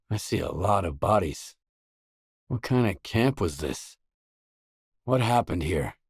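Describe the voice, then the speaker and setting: A gruff, world-weary male stalker from a video game, talks in a low voice at a very unhurried pace. He is blunt and spare with his words, and the sound is close and dry. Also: darkish tone, dry recording, exaggerated voice. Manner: terse, blunt, low-key. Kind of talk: scripted game dialogue lines.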